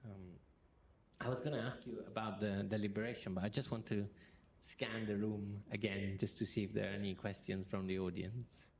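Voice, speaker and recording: Brief: low-pitched man, flat delivery, halting academic interviewer, faint room noise. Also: creaky. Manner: hesitant, many fillers and restarts. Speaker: interviewer, history professor. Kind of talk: moderating a public interview. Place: university hall with an audience.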